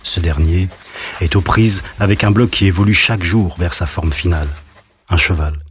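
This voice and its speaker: A man narrating a sombre audio drama as a storyteller, measured and solemn. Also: low voice, a little hiss or hum, lightly acted. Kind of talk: scripted literary narration. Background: music.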